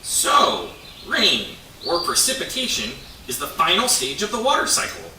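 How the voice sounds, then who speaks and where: A man's voice: sits high, sing-song, moderate pace. A man voicing an eager young beaver in a children's puppet show, earnest and exaggerated. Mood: excited discovery. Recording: echoey, distant, faint noise.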